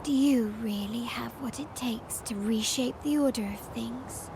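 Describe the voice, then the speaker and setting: Composed, high-voiced young heiress. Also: female voice, measured pace, noisy background. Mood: cold, needling. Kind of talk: dramatic video game voice acting.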